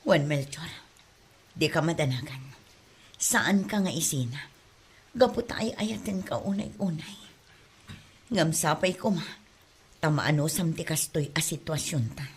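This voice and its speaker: A woman's voice: low-pitched, lively intonation, measured pace, long pauses, husky, heavily acted. A woman with an Ilocano accent playing a secretive, aggrieved mother in an audio drama. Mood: hushed, tender. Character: Marta, secretive unfaithful mother, defensive, aggrieved. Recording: music behind the voice.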